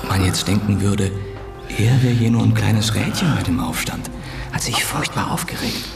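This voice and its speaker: An actor in a radio play as an anxious, confiding man speaking in a hushed, worried way. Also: on the low side, normal speed, gravelly. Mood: worried explaining. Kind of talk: radio play acting, dialogue. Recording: echoey room with music behind.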